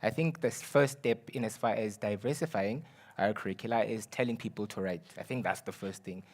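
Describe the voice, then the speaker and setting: A man's voice: fairly low pitch, fairly quick. A young man, a student representative from South Africa, speaking confidently and persuasively on a panel. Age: young adult. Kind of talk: panel discussion.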